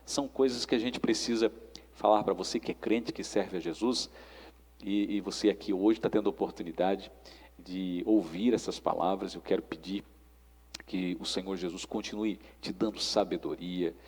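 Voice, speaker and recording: A Brazilian man with a husky, creaky voice talks like a preacher in conversation. He instructs and exhorts, often checking for agreement. His voice trails off in long reverb. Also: deep voice, steady tone, normal speed, darkish tone. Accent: Brazilian Portuguese.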